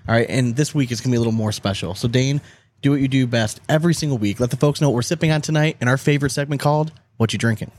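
A man's voice: mid-range voice, very fast, a little mellow. A male podcast host with an American accent and a mid-range voice. He keeps up casual banter, talking in a slangy, joking way.

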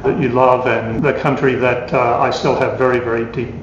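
A man's voice: medium pitch, level tone, normal speed. Older man, earnest scientist guest; roomy, muffled, noisy remote audio. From Australia. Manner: earnest, repeats words as he goes. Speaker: award-winning scientist guest. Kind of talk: remote interview conversation. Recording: echoey, dull, noisy remote line.

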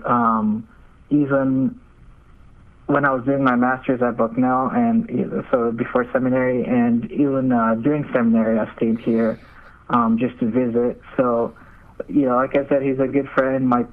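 A man's voice: mid-range voice, normal speed, phone-quality sound. A young man gives casual, hesitant interview answers as a seminarian guest over a remote call. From Nigeria. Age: young adult.

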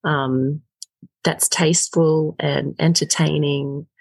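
A woman speaks in a husky voice with a gravelly edge. She talks in a halting, reflective way, filling gaps with soft pauses. The sound is close and dry with no room around it. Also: sits low, flat delivery, no echo. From Australia. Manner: thoughtful, halting, with many filler pauses. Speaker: actor interviewed as a guest. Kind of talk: casual reflective interview talk.

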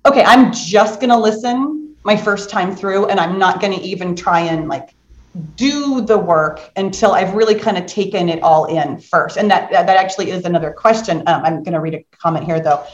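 A woman hosting a casual live book discussion, talking in an animated, conversational way and inviting the group to join in. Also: fairly low pitch, moderate pace, lo-fi sound. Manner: animated, conversational, encouraging audience participation. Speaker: book club host. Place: indoor room on a group video call. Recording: roomy echo.

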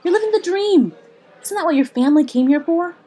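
An actress playing a brash, blunt comedian friend in a naturalistic audio drama, sarcastic, punchy and profane. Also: female voice, very high-pitched, animated, brisk, dark tone. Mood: forceful, rallying.

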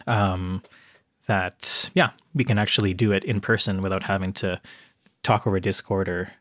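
A man's voice: deep voice, steady tone, moderate pace, pushed and bright, no room sound, clean studio sound. A Canadian man hosting a casual podcast, rambling with many fillers. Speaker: podcast host. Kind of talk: casual chat.

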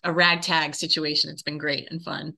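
A woman's voice: sits low, flat delivery, moderate pace, gravelly, pushed and bright. A woman who works as a theatre director, chatting casually as an interview guest.

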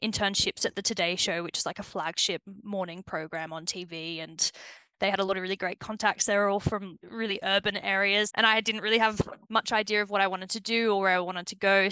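A woman's voice: mid-range voice, bright, forceful tone, clean tone, no echo, low-quality recording. A young woman with an Australian accent, chatting casually as a podcast guest and laughing often. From New South Wales, Australia. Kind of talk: casual interview chat.

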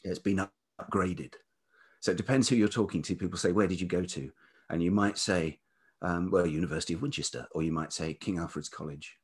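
A man's voice: low-pitched, a little fast, slightly rough. A man in his fifties reflecting on his life as an interview guest, speaking hesitantly and thoughtfully in a velvety voice. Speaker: interview guest, writer and producer. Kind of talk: reflective autobiographical interview chat.

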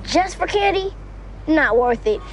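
Stubborn young boy, high-pitched, swooping delivery, wisecracking, sitcom. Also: sing-song, measured pace, heavily acted. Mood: haggling. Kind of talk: sitcom acting. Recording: noisy TV sitcom with studio audience.

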